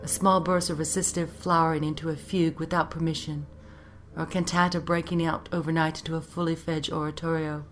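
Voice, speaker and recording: Low-pitched female storyteller. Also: little pitch movement, a little fast. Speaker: storyteller, narrator. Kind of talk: scripted fairy-tale narration over music. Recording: narration over background music.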